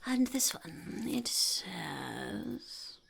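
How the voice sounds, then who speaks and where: A woman voicing a blunt, smug female goblin entrepreneur in an unhurried, breathy, gravelly half-whisper for an ASMR roleplay. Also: mid-range voice, sing-song, exaggerated voice. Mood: smug, matter-of-fact. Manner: speaks of herself in third person, unhurried, blunt. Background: crinkling wrappers and clicks.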